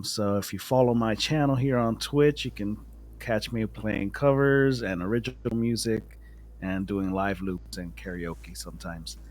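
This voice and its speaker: Low-pitched guy, guest on a game stream over the internet, just chatting casually. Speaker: guest player, musician. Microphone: remote internet call.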